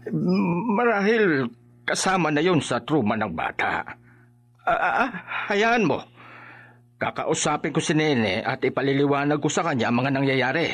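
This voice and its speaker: An actor playing a worried, well-meaning grandfather in a horror radio drama, hesitant and expressive. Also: fairly high pitch, moderate pace, heavily acted. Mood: reassuring. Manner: hesitant, expressive, musing aloud.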